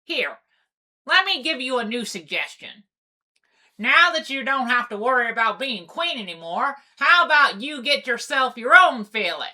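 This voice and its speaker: A woman's voice: on the high side, big pitch swings, moderate pace, bright, forceful tone, heavily acted. Woman putting on a teasing pirate pony captain. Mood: coaxing, assured. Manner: salty pirate-style speech. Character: bossy, teasing pony sea captain, matchmaker. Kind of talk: comic dub cartoon acting.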